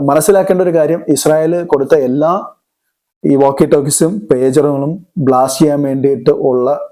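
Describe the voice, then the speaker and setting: Mellow, higher-pitched man. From Kerala, India. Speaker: commentator on current affairs. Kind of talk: explanatory news commentary.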